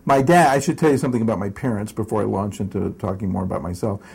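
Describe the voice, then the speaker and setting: A man's voice: lowish voice, quick pace, vocal fry, a little mellow, breathy edge. A man in his sixties raised in Southern California, telling his life story in an oral history interview with many hesitations and wry asides. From United States, raised in Southern California. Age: 60s. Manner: rambling, hesitant with many fillers and restarts; wry, self-mocking humor.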